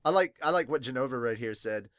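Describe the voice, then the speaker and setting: A man with a mid-pitched voice talks casually and excitably, like a fan hosting a reaction podcast. His nose sounds slightly stuffed and sniffly, and he swears freely. The recording is close and dry, with very little room sound. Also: fast, no room sound. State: congested, sniffly from crying. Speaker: podcast host reviewing manga. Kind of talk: casual fan reaction, reading viewer comments aloud.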